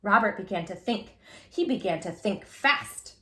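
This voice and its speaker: A woman reading a children's picture book aloud as a storytime host, theatrically, with drawn-out words and little asides to the listener. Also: medium pitch, animated, pushed and bright.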